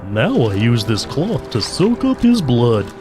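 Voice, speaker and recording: A man voicing an earnest, grieving talking bull with a mellow voice and a slow delivery in an audio horror comedy. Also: mid-range voice, wildly swooping pitch, over music. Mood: awed. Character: earnest, grieving talking bull seeking his wife's return.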